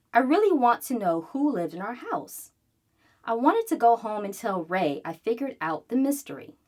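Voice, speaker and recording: A woman reading a children's book aloud in an expressive, animated way, acting out the story as she goes. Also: medium pitch.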